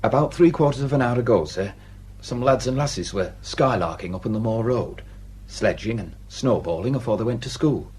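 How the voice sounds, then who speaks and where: A man's voice: mid-range voice, expressive, moderate pace, darkish tone, husky. A man acting a deferential, plain-spoken village sergeant with a Northern English accent in a radio drama. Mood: grim, hushed.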